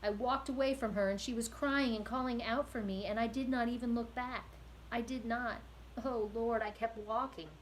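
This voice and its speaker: A woman voicing a gruff old male prisoner, a cow thief, with loud, rough, rustic speech. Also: fairly high pitch, fairly even intonation, moderate pace, slight background noise, natural voice, rough recording. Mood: remorseful.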